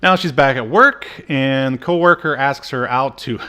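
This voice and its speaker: A man's voice: medium pitch, moderate pace, bright, forceful tone, clear, resonant tone. A man hosting a podcast, casually recapping an old TV show in a jokey, irreverent way. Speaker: podcast host.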